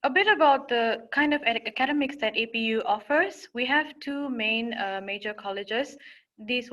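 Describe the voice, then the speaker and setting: A young woman from Singapore presents admissions information in a webinar. Her voice sits a little high. She talks in a steady, informative way with small fillers and restarts. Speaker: admissions counselor.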